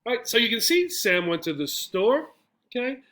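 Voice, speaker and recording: A man's voice: very high-pitched, big pitch swings, moderate pace, bright, forceful tone. A man teaching English, explaining step by step in a didactic way with frequent questions and check-in tags. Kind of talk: explanatory English lesson with poem reading.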